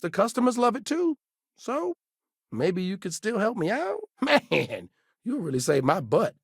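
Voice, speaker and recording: An actor playing an eager, gullible fast-food manager in a video game, talking in an upbeat, chatty, salesy way. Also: male voice, fairly high pitch, a bit bright, no echo, clean studio sound, heavily acted.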